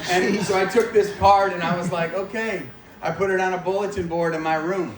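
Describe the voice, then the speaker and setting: A man's voice: sits high, steady tone, fairly quick, crowd noise. An American man in his forties giving an informal personal testimony to a live audience, with a joking, self-deprecating manner. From Maine, United States. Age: 40s. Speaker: guest speaker giving testimony at church. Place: large echoey indoor room, speaking to a gathering. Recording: echoey room, distant mic, faint noise.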